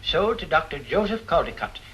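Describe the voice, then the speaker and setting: An actor playing a fussy, easily flustered and forgetful art shop owner in an old detective drama. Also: male voice, on the high side, very animated, brisk, sharp tone, dull audio. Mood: flustered, polite. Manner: fussy, scolding, flustered exclamations. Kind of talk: old-time detective film acting. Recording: old soundtrack with background noise.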